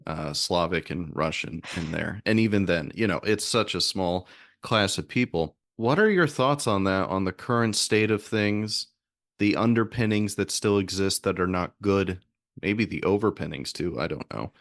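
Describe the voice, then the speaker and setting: American male podcast host, low voice, dry close sound, discursive and self-correcting. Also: moderate pace, a bit bright, dry recording. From southern United States. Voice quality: deep voice. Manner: rambling, hesitant, self-correcting; extroverted. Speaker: podcast host, music theorist. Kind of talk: conversational interviewing.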